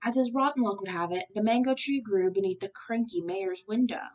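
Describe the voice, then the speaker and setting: A woman reading a children's storybook aloud in an expressive narrating style. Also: medium pitch, brisk, distant mic, dull audio. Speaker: storybook reader.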